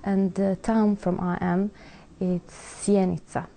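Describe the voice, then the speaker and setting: A young woman from Serbia speaks English. Her voice is mellow and low in brightness, with a gravelly edge. She talks haltingly, pausing to find the right words as she tells her own story. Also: little pitch movement, slight background noise. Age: young adult. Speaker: interviewee. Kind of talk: personal testimony.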